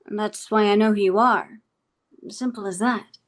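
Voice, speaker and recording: A woman's voice: mid-range voice, fairly even intonation, natural voice. A woman playing an eerily composed, menacing interrogator. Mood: controlled menace. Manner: deliberate, formal, probing. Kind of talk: dramatic script table read. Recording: music playing behind the voice.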